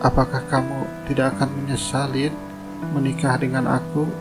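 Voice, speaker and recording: A man's voice: medium pitch, monotone, moderate pace, loud music behind the voice, lightly acted. A man playing a humble, self-effacing poor young labourer in a cartoon drama, polite and subdued. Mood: humble, resigned.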